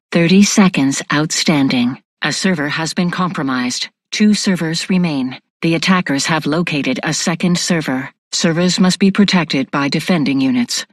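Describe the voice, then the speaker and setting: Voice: medium pitch, no room sound, lightly acted. A performer voicing an impassive facility defence computer in a military video game, with clipped, formal announcements. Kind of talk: scripted game announcer lines.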